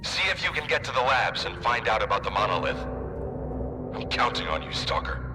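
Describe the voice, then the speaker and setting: A man's voice: fairly low pitch, very animated, brisk, bright, forceful tone, exaggerated voice. An actor playing an urgent commander calling in his agent in a video game. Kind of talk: video game cutscene acting. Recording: hall reverb, faint music.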